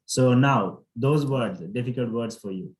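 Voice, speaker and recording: A man's voice: medium pitch, measured pace, darkish tone. Echoey male test coach, instructive. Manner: instructive, repeats words and phrases for emphasis. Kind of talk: interactive lesson, correcting pronunciation. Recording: echoey room sound.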